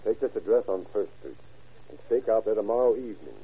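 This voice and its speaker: Tough undercover cop, low voice, clipped delivery, cool, muffled vintage radio recording. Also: male voice, expressive, a little fast, breathy edge. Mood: brisk, commanding. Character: tough, cool male American undercover narcotics detective. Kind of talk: old-time radio drama acting.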